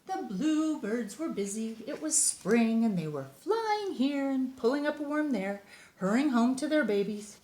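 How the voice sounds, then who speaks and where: An older woman, a grandmotherly storyteller, reads to young children with expressive, drawn-out words and chatty asides. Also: a little high. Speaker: storyteller grandmother reading to kids. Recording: echoey room.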